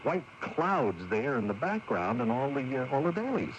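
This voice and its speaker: A man's voice: medium pitch, sing-song, normal speed, natural voice. An actor playing a diligent, hesitant and plain-spoken cameraman in a 1980s radio drama. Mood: baffled. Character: puzzled, diligent male film cameraman. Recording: dull, noisy 1980s radio taping.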